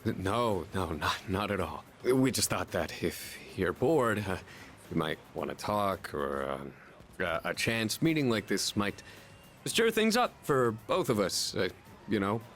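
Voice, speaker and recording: An actor voicing a proud but socially awkward male high school senior in a video game. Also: lively intonation, measured pace, fairly bright tone, soft background music. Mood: nervous, halting. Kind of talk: English video game voice acting.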